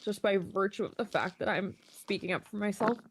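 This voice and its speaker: A woman making a personal video statement, talking hesitantly with many pauses and ums. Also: medium pitch, slight background noise.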